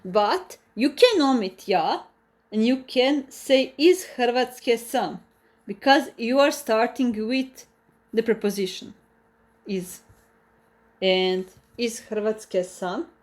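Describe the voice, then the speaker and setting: Slow, careful Croatian-accented woman teaching. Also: mid-range voice, a little mellow. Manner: slow, careful explaining with restarts and repeated examples. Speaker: language teacher. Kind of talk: live online language lesson.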